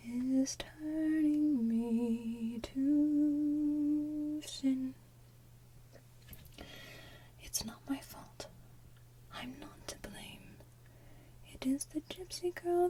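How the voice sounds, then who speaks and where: Breathy woman softly whisper-singing ASMR, very mellow. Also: sits high. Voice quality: breathy, hushed, soft. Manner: slow, gentle delivery, mostly whispered. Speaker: ASMR channel host. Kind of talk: ASMR soft singing of animated film villain songs.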